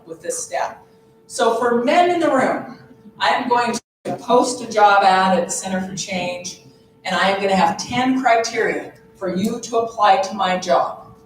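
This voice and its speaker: A woman's voice: on the high side, slight background noise. A woman in her fifties, a psychologist giving a conference presentation in a conversational, personal way and asking the audience questions. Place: large conference room. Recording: echoey room, voice from far off.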